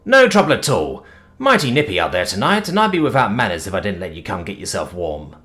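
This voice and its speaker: A man's voice: on the low side, huge pitch swings, fairly quick, bright, forceful tone, heavily acted. A man voicing a friendly, easygoing rural man who talks in a folksy, hearty drawl. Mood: welcoming. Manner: drawling country talk, hearty.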